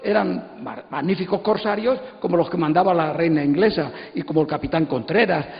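A man's voice: a little high, a little fast, gravelly. A man in his sixties with a Castilian Spanish accent, speaking emphatically and digressively as a panelist, repeating phrases as he explains. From Spain. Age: 60s. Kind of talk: animated informal lecture to an audience. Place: stage of an auditorium. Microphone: handheld microphone. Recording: echoey hall PA, dull sound.

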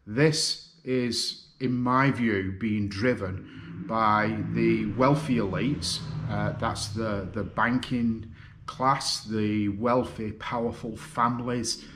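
A man in his fifties with a Lancashire accent, giving a personal video statement from his living room, earnest and halting with many fillers. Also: on the low side, fairly even intonation, background noise. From North West England. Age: 50s. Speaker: video contributor. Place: living room, seated on a sofa.

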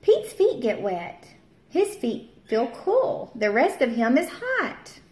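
A woman in her thirties, a teacher, reading a picture book aloud to young children and doing bright voices for the characters. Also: high voice, wildly swooping pitch, slow pace. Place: home room, seated at a table with a child. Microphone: camera at table distance. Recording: echoey room.

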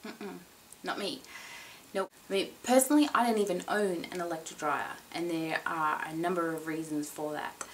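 A woman in her thirties hosting a casual how-to vlog from a small room at home, talking straight to the camera in a chatty, animated way. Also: mid-range voice, slight background noise, poor audio. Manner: chatty and animated, with expressive emphasis. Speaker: vlogger.

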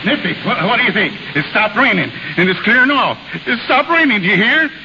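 An actor in a 1930s radio drama playing an eager, folksy carnival hand with an excitable, colloquial way of talking. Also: male voice, high-pitched, brisk. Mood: excited, cheering. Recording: muffled, noisy 1930s radio broadcast.

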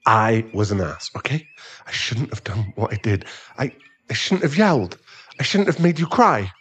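A man's voice: on the low side, expressive, normal speed, fairly bright tone, slightly rough. A man playing a remorseful sugar-daddy boyfriend in an ASMR audio roleplay, hesitant and stammering as he earnestly pleads for forgiveness. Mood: pleading, regretful.

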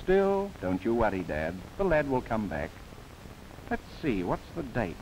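A man's voice: mid-range voice, wildly swooping pitch, measured pace, darkish tone, low-quality recording. A man narrating a 1930s government documentary film, with playful asides. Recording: old 1939 film soundtrack with faint hiss.

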